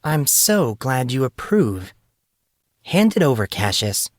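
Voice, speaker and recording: A man's voice: medium pitch, big pitch swings, takes it slowly, no echo, studio quality, voice pushed up. A man voicing a fierce, desperate teenage girl martial artist, defiant and then pleading.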